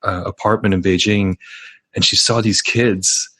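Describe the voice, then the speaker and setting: Low-voiced man, earnest podcast guest. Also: steady tone, measured pace, bright, forceful tone, no room sound. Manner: earnest, storytelling, frequent restarts. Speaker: podcast guest, startup founder. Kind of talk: casual podcast interview.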